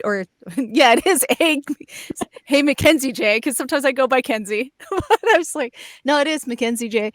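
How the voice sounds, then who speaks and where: A woman's voice: a little high, dry recording. A young adult woman chatting casually as a panel guest, close on a studio mic. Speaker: panel guest, voice actor and YouTuber. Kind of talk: casual chat. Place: foam-treated home booth. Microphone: close studio microphone.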